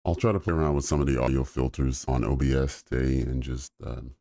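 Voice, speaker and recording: A man with a very deep voice streaming live, talking through trades in a relaxed way with fillers. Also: a little fast, no echo, studio quality. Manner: relaxed, thinking aloud with fillers. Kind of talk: live trading commentary.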